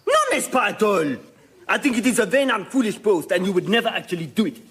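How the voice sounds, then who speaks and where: A man's voice: sits high, animated, fast talker. An actor playing a challenging, dismissive Frenchman with an exaggerated French accent in a radio comedy sketch. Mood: scornful, challenging. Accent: exaggerated put-on French. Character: scornful, challenging Frenchman confronting a philosopher.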